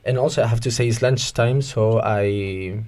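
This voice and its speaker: A man's voice: medium pitch, normal speed, a little mellow. A young man from Spain chatting on a volunteer radio show, hesitant with many fillers but playful and joking. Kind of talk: casual radio chat.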